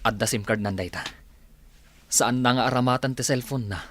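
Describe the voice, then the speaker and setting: A man's voice: mid-range voice, normal speed, a bit bright, a little hiss or hum, lightly acted. A man playing a practical confidant in a radio drama, giving directive, urgent advice. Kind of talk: Ilocano radio drama acting.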